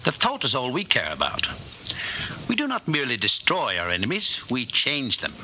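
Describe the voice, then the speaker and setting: A man playing a calm, menacing Party interrogator in 1940s radio drama style, measured, formal and condescending. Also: mid-range voice, exaggerated voice. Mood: condescending, patient. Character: calm, menacing male Party interrogator, patient and coldly superior. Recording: noisy vintage 1940s radio broadcast.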